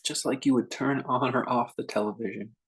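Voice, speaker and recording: A man guiding a meditation in an informal, conversational way, speaking in short phrases with unhurried pauses between them. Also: medium pitch, fairly even intonation, a bit bright, low-quality recording. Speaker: meditation teacher leading a guided meditation. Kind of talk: unscripted guided meditation talk.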